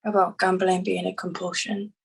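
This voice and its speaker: A woman's voice: lowish voice, level tone, normal speed, vocal fry, husky. An actress playing a wary, anxious woman afraid of her hard past in a table read. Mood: anxious, pleading. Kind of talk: screenplay table read acting.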